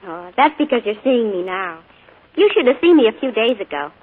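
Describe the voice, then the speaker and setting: An actress playing an earnest, adoring young niece in a 1940s radio drama, on a tinny broadcast with faint hiss. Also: female voice, on the high side, very animated, fairly quick, husky.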